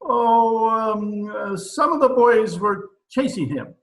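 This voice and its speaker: A man's voice: high voice, expressive, slow pace, roomy sound, full character voice, low-quality recording. A man playing a pompous, blustering dictator president in an old-time radio comedy recorded over a video call. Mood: casually sly. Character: pompous, excitable dictator president of a banana republic.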